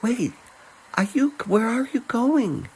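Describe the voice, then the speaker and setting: Man voicing a timid young woman, pitched high. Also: sing-song, slow pace, slightly rough, full character voice. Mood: scared. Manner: soft, hesitant, wondering. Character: timid, sheltered young woman, awestruck and tender. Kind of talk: dramatic fantasy audio story.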